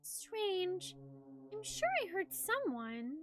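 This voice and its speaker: A woman voicing a gentle, soft-spoken young noblewoman in a game, sincere and unthreatening. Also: very high-pitched, very animated, slow pace, faint music behind, pitched above the performer's own voice, exaggerated voice. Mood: wary. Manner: soft, near-whispered, sincere.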